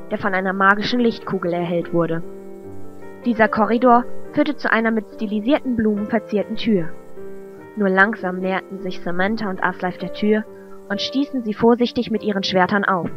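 A woman's voice: medium pitch, close to an everyday voice. A woman reading scripted narration as the storyteller of a fantasy audio drama. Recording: muffled lo-fi amateur recording. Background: loud music.

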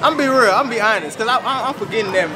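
A man's voice: high-pitched, animated, quick pace, crowd noise. A young man bantering casually in a bowling alley, trash talking in slang and repeating his phrases. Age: young adult. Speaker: vlog guest. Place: busy indoor bowling alley arcade. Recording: loud busy hall, handheld camera.

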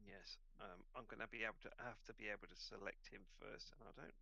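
Guy running an online rpg, creaky voice, kinda mutters to himself, dry lo-fi sound. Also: fairly low pitch, fairly even intonation, quick pace, pushed and bright, no room sound. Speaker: game master. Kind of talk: casual chat running an online tabletop game.

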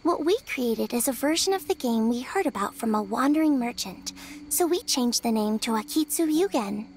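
A woman's voice: on the high side, lively intonation, moderate pace, a little mellow, slight background noise. A woman voicing a small, polite young spirit in a video game, explaining things earnestly.

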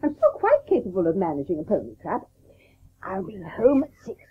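An actress playing a stern but doting older Victorian mother, brisk and scolding, in a radio play. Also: medium pitch, huge pitch swings, phone-quality sound, a little hiss or hum. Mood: stern, exasperated.

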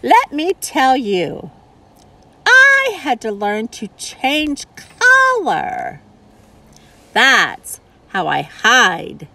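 A woman's voice: high-pitched, huge pitch swings, very slow, sharp tone, pitched above the performer's own voice, exaggerated voice. A woman doing a boastful chameleon. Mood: emphatic.

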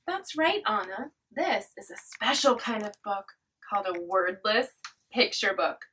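A woman presenting an educational read-aloud for young children in an animated, exaggerated, child-directed way. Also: mid-range voice, unhurried. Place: small indoor classroom inside a mobile learning vehicle. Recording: distant mic with faint room noise.

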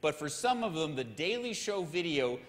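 A man in his sixties presenting research findings from a lectern on a large stage, in a measured, clear explanatory manner. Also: on the high side, sing-song. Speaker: research center director giving a talk. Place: large stage in a dark auditorium. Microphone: lectern microphones. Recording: hall reverb from a big auditorium.

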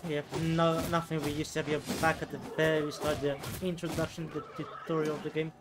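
A man's voice: fairly high pitch, level tone, a little fast, noisy background. A man giving casual gameplay commentary as a let's player. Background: game music.

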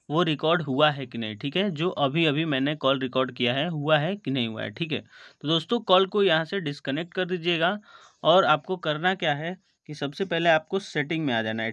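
A man's voice: a little high, a bit bright, dry recording, highs cut off. A man with an Indian accent hosting a tutorial, explaining step by step and often checking that viewers follow. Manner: repeatedly seeks confirmation, addresses viewers as friends. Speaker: tutorial video host. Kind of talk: step-by-step phone tutorial narration.

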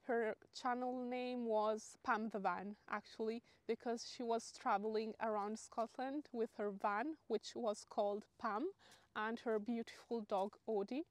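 Female vlogger, late 20s, Italian accent, bright-pitched, chatty and loose, lapel mic outside. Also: dry recording. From Italy, Lake Como area. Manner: chatty, rambling with fillers and restarts. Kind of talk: casual Q&A chat to camera. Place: grassy hilltop outdoors, sunny. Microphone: clip-on lapel mic.

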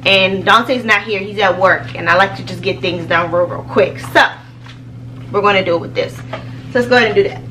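A woman's voice: mid-range voice, brisk. An American woman in her thirties talking informally and chattily to the camera as a home vlogger. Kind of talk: casual chat to camera. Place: small home office room, indoors. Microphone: camera a few feet away. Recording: distant camera mic with background noise.

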